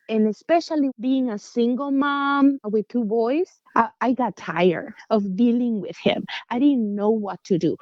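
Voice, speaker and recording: Slow, earnest woman from Guatemala. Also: a little high, darkish tone, no room sound. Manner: earnest, heartfelt, simple second-language phrasing. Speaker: listener commenting. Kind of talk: personal testimony. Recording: dull lo-fi recording.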